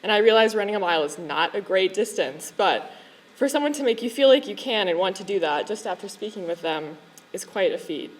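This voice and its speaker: A woman on the school staff giving a warm, chatty tribute speech, telling stories and laughing between lines. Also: mid-range voice, expressive, fairly quick, low-quality recording. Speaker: school staff member and chaperone. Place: school event hall.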